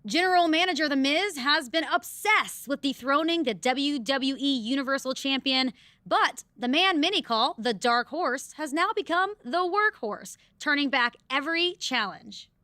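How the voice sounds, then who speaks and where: An actress playing a polished, upbeat wrestling presenter reading a scripted sports broadcast in a video game. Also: female voice, sits high, animated, moderate pace, sharp tone, no room sound. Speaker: commentator.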